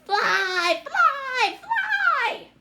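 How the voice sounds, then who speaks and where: A woman voicing greedy, excitable little trolls who clamor in shrill shouts for a children's storybook. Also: extremely slow, very noisy, exaggerated voice. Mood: excited clamoring. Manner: shrill shouting, chanting single words.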